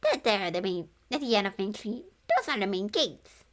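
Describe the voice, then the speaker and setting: A woman's voice: medium pitch, big pitch swings, normal speed, a bit bright. A woman voicing a friendly, chatty ghost with folksy, loose-grammared speech in a storybook read-along. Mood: helpful, folksy.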